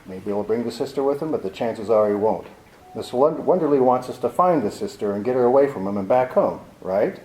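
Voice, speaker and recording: A mellow, lively voice belongs to a hardboiled private eye who stays cool and sardonic, played by a man. He speaks dryly and laconically, in the style of 1940s radio drama. The room around him sounds echoey. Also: medium pitch, a little fast, close to an everyday voice. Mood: wry. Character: cool, wry male private detective, hardboiled.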